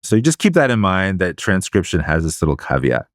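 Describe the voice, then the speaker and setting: A man co-hosting a podcast, explaining study methods in a measured, casual way. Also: low voice, big pitch swings, no echo, clean studio sound. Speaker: podcast co-host.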